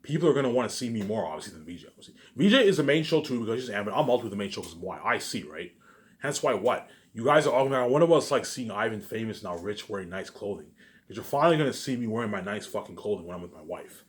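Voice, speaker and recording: A man with a somewhat low voice talks very fast to his online viewers. He rambles and boasts, swearing freely and repeating his phrases, and his delivery jumps into louder bursts before settling back down. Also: expressive. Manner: repetitive, restarting phrases over and over; profane, boastful ranting with sudden loud bursts.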